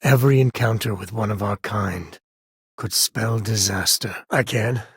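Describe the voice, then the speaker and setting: An actor playing a rugged frontier space-cowboy companion in a video game, a wry, protective father with a husky American voice and a folksy, earnest way of talking. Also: on the low side, a little slow, no echo, studio quality, exaggerated voice. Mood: earnest, measured. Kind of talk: video game character voice acting.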